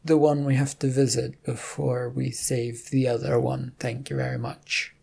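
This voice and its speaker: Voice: mid-range voice, little pitch movement, a little slow, gravelly, darkish tone, breathy edge, heavily acted. A storybook narrator voicing a polite, slightly prim woman guide, courteous with a tart edge.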